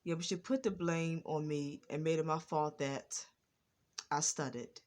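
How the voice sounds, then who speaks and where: Woman vlogger, stutters, slow and repetitive delivery. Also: low-pitched, steady tone, a little mellow. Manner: repetitive, circling back over the same phrases. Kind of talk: personal testimony vlog.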